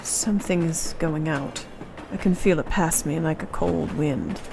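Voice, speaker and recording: A woman's voice: sits low, measured pace, vocal fry, a little mellow, a little hiss or hum, poor audio. A woman in a radio play acting a brave, clever young Victorian wife, speaking earnestly with formal period diction. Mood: entranced.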